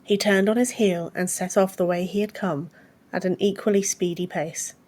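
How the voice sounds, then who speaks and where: A woman's voice: medium pitch, steady tone, moderate pace, a little mellow. A woman reading a fantasy story as a scripted audiobook narration.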